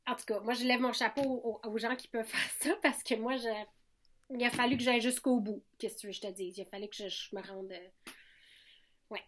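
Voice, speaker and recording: A woman's voice: fairly high pitch, steady tone, fairly quick. A woman in her thirties with a Québécois French accent, talking frankly as a podcast guest, often restarting and repeating words. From Quebec. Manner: frank, many restarts and repetitions. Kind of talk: candid conversational interview.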